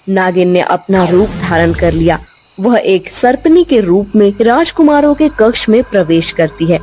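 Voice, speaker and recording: A woman's voice: mid-range voice, a little mellow. Woman, narrator, mid-range voice, scripted storytelling, background music.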